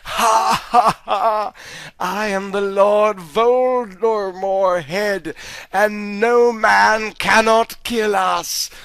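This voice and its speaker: A man's voice: sits high, steady tone, very slow, creaky, fairly bright tone, clean tone, no room sound, full character voice. A man voicing a boastful, cackling two-headed blood monster in a comic story reading.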